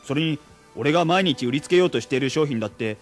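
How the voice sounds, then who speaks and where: A hapless salaryman played by a male actor in a radio drama, resigned and wry, theatrical when upset. His fairly high voice swings widely in pitch, with music behind him. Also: expressive, quick pace, natural voice. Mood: dejected. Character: hapless rueful salaryman sliding into drink and debt. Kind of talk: Japanese radio drama acting and first-person narration.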